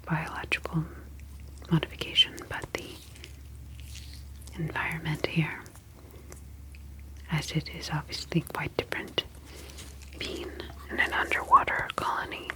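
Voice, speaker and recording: A woman playing a calm, clinical ocean alien scientist in an ASMR sci-fi roleplay, whispering in a soft, formal, reassuring manner. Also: sits low, poor audio.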